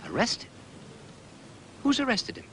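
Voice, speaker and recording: An actor playing an affable, tactful English aristocrat sleuth in a period detective drama, urbane and drily whimsical with an upper-class English accent. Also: male voice, measured pace, fairly bright tone, slight background noise, close to an everyday voice. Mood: polite, curious. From England.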